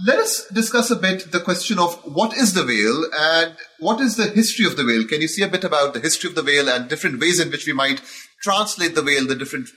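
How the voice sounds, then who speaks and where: A man's voice: on the high side, pushed and bright. A man hosting an academic book interview, asking questions politely and formally with frequent uhs. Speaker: podcast host.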